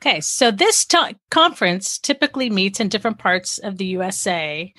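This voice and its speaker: A woman's voice: mid-range voice, sing-song, moderate pace, bright, forceful tone, no echo, clean studio sound. A woman hosting an interview, friendly and enthusiastic, with short affirming reactions. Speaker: interview host.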